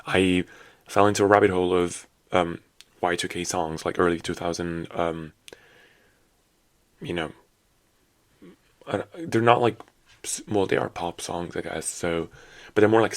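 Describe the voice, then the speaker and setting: A man in his twenties with a low, hoarse, raspy morning voice, chatting casually and rambling with sassy asides as a solo podcast host. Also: normal speed, long pauses, no echo. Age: 20s. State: hoarse after a night out.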